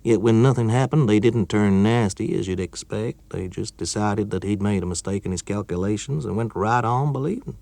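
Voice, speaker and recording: A man reading a story, voicing a gruff, weary male engineer in a slightly raised voice. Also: lowish voice, normal speed, hardly any pauses, mellow, no echo.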